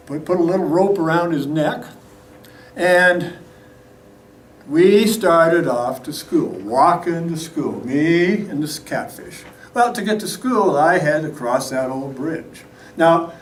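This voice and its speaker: A man telling a folksy, humorous tall tale to a live audience, theatrically acting out the story as he goes. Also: high voice. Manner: folksy, animated, acts out dialogue. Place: indoor meeting room at a live event. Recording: echoey room, distant mic, background noise.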